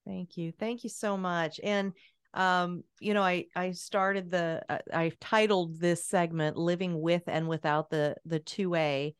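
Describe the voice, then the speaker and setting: A woman's voice: fairly low pitch, steady tone, a little slow, smooth, dry recording. A woman hosting a talk radio show, upbeat and chatty, with some fillers as she introduces the guest. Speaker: podcast host.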